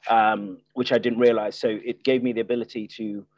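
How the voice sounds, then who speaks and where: A man guest chatting casually on a podcast. His voice sits low, recorded close and dry with no room sound. He talks haltingly, restarting sentences and filling pauses with fillers. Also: level tone, a little mellow, dry recording. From Nigeria, Ireland and the UK. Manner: hesitant, many restarts and fillers. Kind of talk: casual interview chat.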